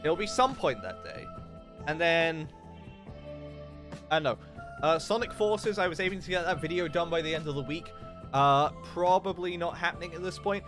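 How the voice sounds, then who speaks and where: Young male streamer, loose rambling chat, fairly high voice. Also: lively intonation, moderate pace, pauses often. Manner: rambling, loose, with many fillers and restarts. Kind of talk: casual livestream chat while gaming. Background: game music.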